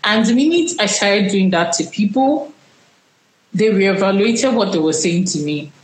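A woman in her twenties, a Yoruba speaker, telling her personal story in an interview in a reflective, unhurried way, often trailing off and restarting. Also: mid-range voice, expressive, roomy sound, poor audio. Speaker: interview guest.

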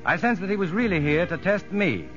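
A man's voice: sits high, very animated, brisk. An actor playing a shrewd, composed prison psychologist who narrates his story in a 1950s radio drama, educated and dryly skeptical. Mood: reflective narration. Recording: muffled vintage radio broadcast with music.

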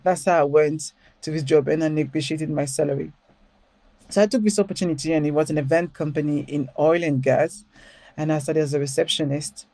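A woman's voice: low voice, a little fast, no room sound. French-accented woman telling her story. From France. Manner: animated, laughs often, frequent restarts. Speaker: podcast guest. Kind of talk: casual storytelling interview.

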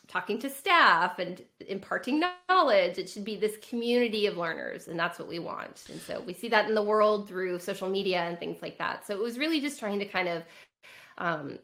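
A woman's voice: lowish voice, sharp tone. A woman with a Californian American accent answering conversationally as an interview guest, earnest and reflective. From California, United States. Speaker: educator and author, podcast guest.

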